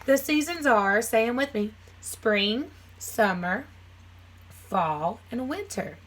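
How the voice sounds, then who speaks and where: A woman's voice: on the high side, animated, slow. A woman teacher reading a children's nonfiction book aloud, phrasing it simply for young listeners with casual asides.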